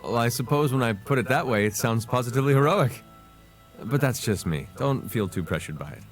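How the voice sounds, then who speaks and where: A man acts out a refined prince from a video game, addressing students with theatrical eloquence. His mid-pitched voice rises and falls freely with a playful streak, and music plays behind him. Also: lively intonation, brisk, close to an everyday voice. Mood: playful, grand. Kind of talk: scripted game cutscene acting.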